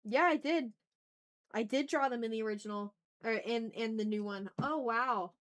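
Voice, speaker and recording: A woman's voice: a little high, normal speed. A woman hosting an art livestream, chatting casually and muttering to herself in an informal, rambling way as she works. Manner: informal, mutters to self, mild swearing. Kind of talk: casual rambling chat while drawing.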